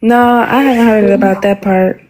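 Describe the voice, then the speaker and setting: A woman's voice: medium pitch, steady tone, normal speed, a little mellow, a little hiss or hum. A woman livestreamer chatting in an upbeat, informal way with viewers and fellow streamers. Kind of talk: casual livestream chat, thanking viewers.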